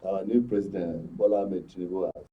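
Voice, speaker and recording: A man with a Nigerian accent giving conversational political commentary as a guest, in a halting way, searching for his words. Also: deep voice, fairly even intonation. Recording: muffled, far from microphone.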